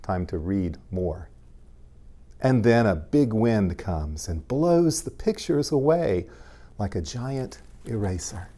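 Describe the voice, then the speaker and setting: A man, a pastor, reading aloud to young children in gentle, simple language and addressing them directly. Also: lowish voice, lively intonation, takes it slowly.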